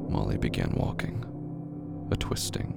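A horror fiction narrator with a gravelly, partly whispered voice, delivering short, deliberate, dramatic phrases. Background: music.

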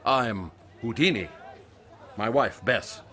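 A man's voice: medium pitch, slow, bright, forceful tone. An actor playing a breezy, confident showman on holiday in a radio drama, with a wry, easy way of talking. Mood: affable. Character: breezy, confident American showman touring with his wife. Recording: music and crowd behind the voice.

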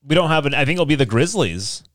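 A man's voice: fairly high pitch, expressive, quick pace, a bit bright. A man in a casual group chat, explaining things in a conversational way as a talk show panelist. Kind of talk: casual group chat about local sports.

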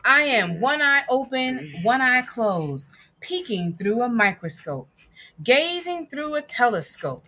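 A woman reading a picture book aloud with emphatic, dramatic phrasing and deliberate pauses. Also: fairly high pitch, big pitch swings, sharp tone. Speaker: guest reader and producer.

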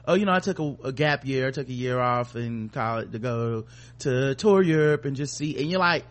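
A man's voice: medium pitch, expressive, normal speed. An American man hosting a podcast, talking informally and opinionatedly, with rambling runs and casual profanity. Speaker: podcast host.

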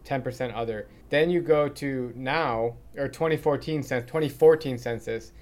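A man's voice: medium pitch, darkish tone. Curious, casual male podcast host. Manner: curious, casual, quick to react. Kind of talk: casual interview conversation.

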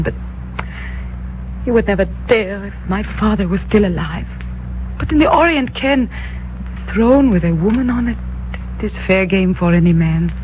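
An actress playing an earnest young female ruler of an eastern kingdom, speaking softly and formally in 1950s radio drama style. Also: mid-range voice, natural voice. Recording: dull old radio broadcast with hum.

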